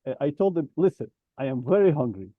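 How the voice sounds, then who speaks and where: A man co-hosting a podcast, telling animated stories in casual chat and acting out what people said. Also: medium pitch, very fast, no echo, phone-quality sound. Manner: animated anecdotes with acted-out quotes. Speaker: podcast co-host.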